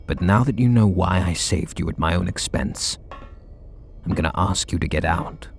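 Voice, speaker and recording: A man playing a gravelly, flustered super villain. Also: normal speed, slight background noise. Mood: confessing. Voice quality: low gravelly husky voice. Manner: soft and intimate, bashful. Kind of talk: ASMR audio roleplay.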